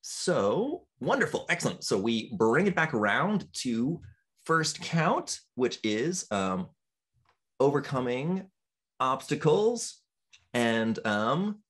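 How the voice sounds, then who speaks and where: A man running a tabletop role-playing session as game master, encouraging the players and pausing often with ums. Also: medium pitch, very animated, a bit bright, slightly rough. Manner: encouraging, hesitant with frequent ums.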